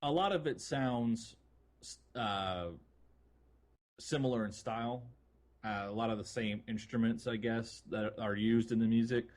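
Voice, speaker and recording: A man's voice: mid-range voice, unhurried, a little mellow. A man co-hosting a casual gaming podcast, talking in an informal, enthusiastic, loosely phrased way. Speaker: podcast co-host.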